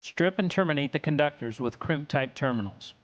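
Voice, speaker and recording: A man reads scripted technical narration for an instructional video in a measured, instructional way. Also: a little high, lively intonation, no room sound, highs cut off.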